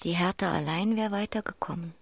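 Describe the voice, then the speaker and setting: Creaky, hushed female chorus narrator. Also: fairly low pitch, fairly quick, no echo, studio quality. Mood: ominous. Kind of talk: literary radio drama narration.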